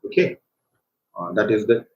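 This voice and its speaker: A man with an Indian accent gives an informal live software tutorial as an online instructor, speaking in a halting, hesitant way. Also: on the low side, expressive. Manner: halting, hesitant delivery with repeated check words. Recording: roomy echo, dull lo-fi microphone, faint noise.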